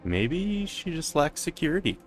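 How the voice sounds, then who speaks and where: A man's voice: a little high, very animated, normal speed, soft background music. A man casually playing through a game, reading dialogue and reacting as he goes. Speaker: gamer.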